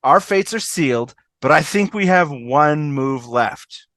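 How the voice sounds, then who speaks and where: Someone playing blunt irreverent sitcom woman, slow but really animated, dry close sound. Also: medium pitch, no room sound. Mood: exasperated, determined. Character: Eleanor, blunt, irreverent woman rallying her doomed friends. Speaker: amateur table-read actor. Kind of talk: fan table read of TV comedy script. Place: online video call.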